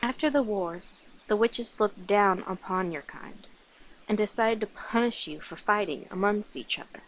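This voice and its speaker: A woman playing a coy, centuries-old vampire confiding in a human lover in an ASMR roleplay, speaking in short phrases with pauses. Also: mid-range voice, close to an everyday voice, rough recording. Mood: solemn storytelling. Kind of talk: ASMR roleplay, addressed to the listener.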